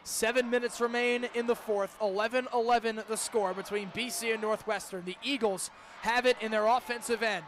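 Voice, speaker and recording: Young American male radio play-by-play announcer, very high pitch, dramatic delivery, faint venue noise behind. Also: fairly even intonation, brisk. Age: young adult. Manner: emphatic, dramatic calls that swell into shouting. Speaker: student radio play-by-play broadcaster. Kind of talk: live lacrosse radio commentary. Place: stadium, calling games in person.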